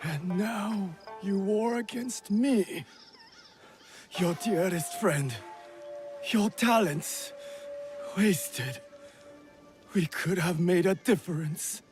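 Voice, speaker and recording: An actor playing a bitter, defiant, defeated samurai in a video game, speaking with intensity. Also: male voice, sits high, very slow, long pauses. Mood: bitter, accusing. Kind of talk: video game cutscene acting. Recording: music behind the voice.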